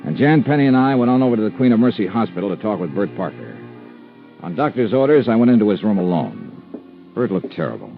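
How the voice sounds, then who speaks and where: An actor playing a wry, tough but caring insurance investigator in a 1950s radio detective drama, with a clipped, world-weary manner. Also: male voice, lowish voice, very animated, brisk, creaky, soft background music. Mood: dry narrating. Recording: muffled vintage radio recording.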